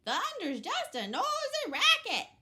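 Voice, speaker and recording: A woman voicing a loud, cheerful little duck in a picture book. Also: sits high, very animated, slow, pitched above the performer's own voice, exaggerated voice. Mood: boisterous. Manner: loud, quacking.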